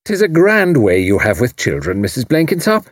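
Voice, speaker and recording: A man's voice: mid-range voice, huge pitch swings, normal speed, no echo, clean studio sound, voice pushed up. A man voicing a genial but overbearing older Irish woman in an audiobook, blunt, emphatic and insinuating. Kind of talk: audiobook character voice.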